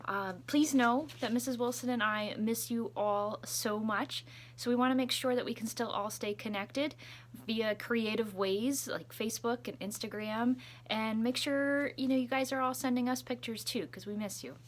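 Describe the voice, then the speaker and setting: A woman teacher reading a story aloud to children with expressive, deliberate phrasing. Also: on the high side.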